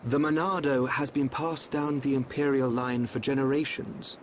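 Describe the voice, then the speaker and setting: A man voicing a calm, enigmatic young seer of a royal court, speaking in a measured, formal, cryptic way in a video game. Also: mid-range voice, slight background noise, close to an everyday voice. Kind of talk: scripted video game cutscene acting.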